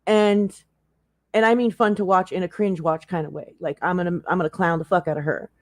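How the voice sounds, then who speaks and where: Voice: medium pitch, steady tone, fairly quick, dry recording, studio quality. A livestream co-commentator chatting casually in a blunt, profane way. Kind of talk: casual chat.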